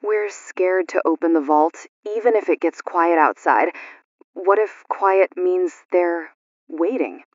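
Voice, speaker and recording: A woman's voice: on the low side, slow pace, dry recording, highs cut off. An actress playing a worried woman who calls in from a sealed vault full of people, reporting in short, clipped phrases. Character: anxious woman trapped in a vault with others.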